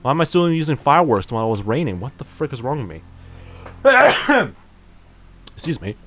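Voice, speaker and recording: A man's voice: medium pitch, very animated, moderate pace, a bit bright, a little hiss or hum. A man chatting casually while livestreaming a game, rambling with lots of fillers and poking fun at himself. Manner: rambling, many fillers, self-mocking. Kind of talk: casual gaming livestream commentary.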